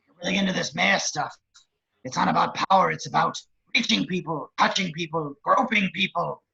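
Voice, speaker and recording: A man playing a crude, lecherous, scheming villain running for mayor, with a very raspy voice and wisecracking delivery, in an amateur script read. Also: fairly high pitch, fairly quick, bright, forceful tone, muffled recording, exaggerated voice. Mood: leering. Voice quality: very raspy, gravelly. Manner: leering, wisecracking.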